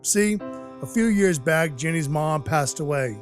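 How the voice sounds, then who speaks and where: A man playing a scruffy, apologetic Christmas dwarf in an audio drama, telling his story in a halting, folksy, sincere way. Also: a little high, animated, faint music behind. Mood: reflective, folksy. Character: male Christmas dwarf turned Santa, scruffy, apologetic, sentimental. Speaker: actor in an audio play.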